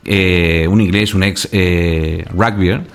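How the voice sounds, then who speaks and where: A man from Argentina, a guest on a radio talk show, telling stories in an animated way with many restarts and fillers. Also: low voice, slow pace. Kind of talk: casual radio conversation.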